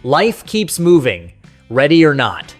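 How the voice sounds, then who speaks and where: A man's voice: a little high, wildly swooping pitch, slow, breathy edge. A man narrating a scripted nostalgic countdown in a folksy, conversational, sentimental storytelling way. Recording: music playing behind the voice. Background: music.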